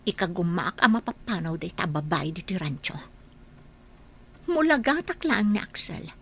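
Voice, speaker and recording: A woman playing a spiteful, scheming nanny in an Ilocano-accented radio drama, with a sharp, confrontational manner. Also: lowish voice, big pitch swings, normal speed, slight background noise. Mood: scheming, brooding.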